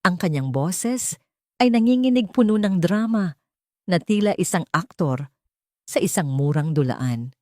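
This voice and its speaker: A woman narrates a dramatic scripted story in measured, emotive phrases. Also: lowish voice, lively intonation, warm tone, dry recording, studio quality.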